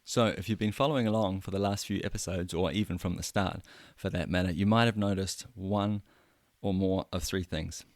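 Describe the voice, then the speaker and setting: A man in his thirties with a New Zealand accent hosts his own podcast, speaking alone into a close mic. His voice sits very low, and the sound is dry with no room around it. He talks in an earnest, self-deprecating way, often restarting his phrases. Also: steady tone, normal speed, no echo. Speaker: podcast host. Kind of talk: solo podcast monologue.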